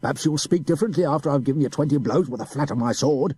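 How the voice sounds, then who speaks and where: A man's voice: fairly high pitch, quick pace, mellow, slightly rough, voice pushed up. A man voicing a blustering middle-aged soldier who threatens and chuckles as he bullies a boy. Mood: angry threatening. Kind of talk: audiobook character voice.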